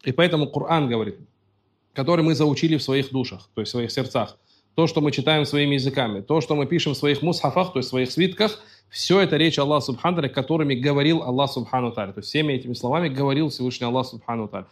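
A man's voice: mid-range voice, fast talker. A man giving a religious lecture, reading out Arabic passages and then explaining them plainly in his own words. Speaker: religious teacher.

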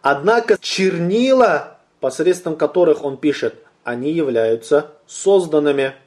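Mellow-voiced man teaching a religious lesson. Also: a little high, animated. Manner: deliberate, slows down to stress key points, asks and answers own questions; addresses listeners as brothers.

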